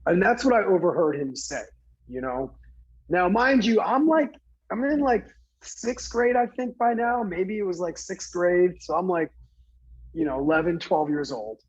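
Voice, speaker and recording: A man from New Jersey earnestly recounting a personal story in conversation, halting, with many fillers and restarts. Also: high-pitched, animated, breathy edge, highs cut off. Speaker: guest speaker.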